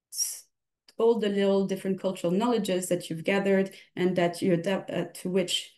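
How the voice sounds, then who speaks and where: A woman's voice: on the low side, a little mellow. A young woman with a slight Belgian French accent, giving a casual exam-prep lecture full of personal examples and self-corrections. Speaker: exam tutor. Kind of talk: recorded study lecture.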